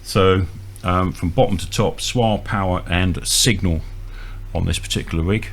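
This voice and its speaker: A man's voice: low-pitched, steady tone, measured pace. A man presenting an informal, hands-on equipment demonstration, explaining step by step with a hesitant delivery. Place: indoors at a small equipment bench. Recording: audible electrical hum and noise.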